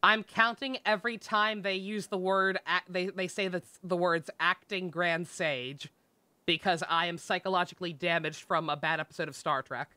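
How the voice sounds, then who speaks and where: A woman streamer giving casual live game commentary, sarcastic, sweary and expressive. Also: fairly low pitch, normal speed, no echo.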